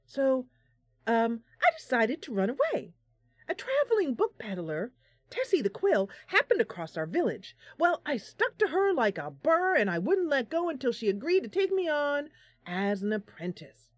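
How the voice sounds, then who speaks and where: A woman's voice: high voice, huge pitch swings, normal speed, no room sound, pitched above the performer's own voice, full character voice. A woman voicing an eager, starry-eyed young female bard in a fantasy game, telling things in a gushing, emphatic way. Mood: bright, eager.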